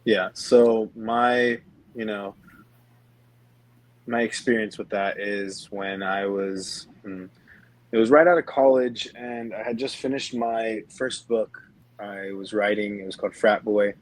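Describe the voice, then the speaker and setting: Young male co-host, twenties, lowish voice, casual personal storytelling, chatty phrasing. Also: steady tone, slow pace, poor audio. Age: 20s. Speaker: podcast co-host.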